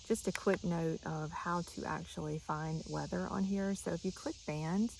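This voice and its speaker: A woman's voice: lowish voice, fairly even intonation, normal speed, vocal fry, a little mellow, slight background noise, poor audio. A woman giving a casual, chatty, instructional product demonstration. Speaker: product reviewer.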